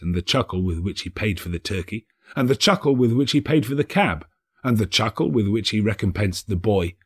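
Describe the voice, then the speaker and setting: Expressive husky male storyteller. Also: on the low side. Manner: theatrical, measured storytelling. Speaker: actor, audiobook narrator. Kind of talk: expressive literary narration.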